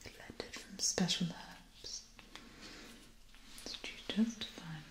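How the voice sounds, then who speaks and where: A gentle guardian angel, acted by a young woman, whispers in a low, breathy voice. She is soothing and caring, taking her time over each phrase. It is a close binaural ASMR roleplay with a roomy sound. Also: extremely slow. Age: young adult. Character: gentle female guardian angel tending a wounded warrior. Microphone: close binaural microphone.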